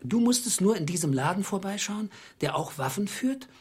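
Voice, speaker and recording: Bitter, husky, calculating husband. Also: male voice, sits high, animated. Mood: bitter accusation. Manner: deliberate, accusing, sarcastic. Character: bitter, calculating small clerk confronting his treacherous wife. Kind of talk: radio drama acting.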